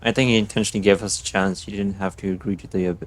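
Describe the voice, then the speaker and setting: A man playing a story game and making quick, laid-back remarks over the cutscenes. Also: lowish voice, steady tone, heavy background noise. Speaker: gaming streamer. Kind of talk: casual let's play commentary. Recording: loud game music over voice.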